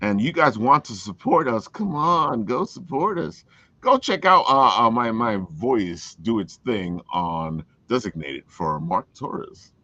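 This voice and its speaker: Man with animated, swooping delivery, dull audio. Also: on the low side, takes it slowly, no room sound. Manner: enthusiastic, plugging. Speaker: podcast host. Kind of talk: casual promotional chat.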